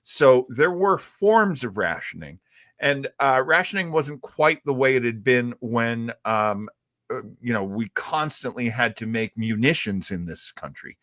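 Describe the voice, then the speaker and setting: A man with a mid-pitched voice in a dry, close recording. He hosts in a conversational, explanatory style, pausing thoughtfully as he goes. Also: expressive, fairly bright tone, dry recording. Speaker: host of a retro radio show.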